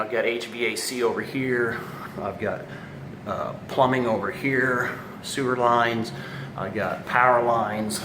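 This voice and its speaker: A man presenting a casual, unscripted how-to walkthrough as a home installer, explaining step by step. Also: lowish voice. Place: indoors, room of a house being remodeled. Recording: echoey room, clear background noise.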